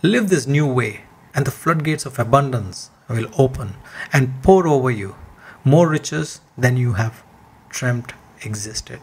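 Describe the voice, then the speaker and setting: A man with a mid-pitched, noticeably husky voice reads a scripted motivational text. He is earnest and deliberate, leaning on key words for emphasis as he delivers the message. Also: lively intonation.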